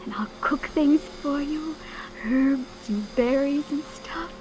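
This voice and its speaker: A woman's voice: high voice, slow pace, music in the background. An actress in an old-time radio drama playing a naive, spoiled young heiress, flighty and chillingly blithe, with a girlish, pouty, airy manner. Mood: pleading. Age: young adult. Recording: noisy vintage radio broadcast recording.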